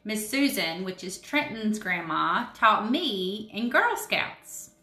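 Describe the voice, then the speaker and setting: A woman's voice: medium pitch, animated, slow pace, pushed and bright, roomy sound. A woman in her thirties reading a picture book aloud to children, explaining carefully and putting questions to her listeners. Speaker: children's story-time reader. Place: indoors in a home room with wood cabinets and brick wall.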